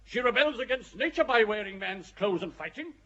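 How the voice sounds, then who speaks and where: Male actor as high-voiced, sharp, blunt bigoted clerk. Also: expressive, a little fast, lo-fi sound, heavily acted. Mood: indignant. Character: blunt English clerk, bigoted and self-righteous.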